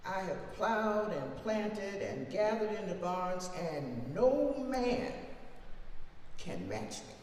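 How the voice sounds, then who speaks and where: Woman, theatrical reciter of a defiant old-time oration, slow and sweeping in pitch, distant in a boomy hall. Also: sing-song, a little mellow. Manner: emphatic, theatrical, with long deliberate pauses. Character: formerly enslaved older woman orator, plain-spoken, defiant, wry. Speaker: reader performing a historical speech. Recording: very echoey hall from far off, faint noise.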